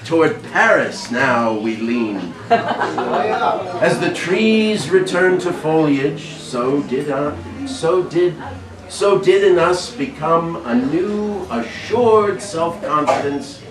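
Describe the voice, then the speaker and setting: In a reverberant room with people chattering behind, an older man recites a poem. He is some distance from the microphone. His delivery is deliberate and halting, as at an informal reading among friends. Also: fairly high pitch, expressive, a crowd in the background. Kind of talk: poetry reading at a social gathering. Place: indoors, large room at a social gathering. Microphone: distant camera microphone. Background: people chattering and laughing.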